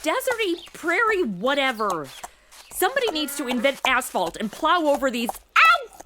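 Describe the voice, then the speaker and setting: A woman's voice: sits high, wildly swooping pitch, moderate pace, noisy background. An actress playing a spoiled modern city woman stuck in the Old West, sarcastic, blunt and complaining, in a comedy audio drama. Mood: exasperated.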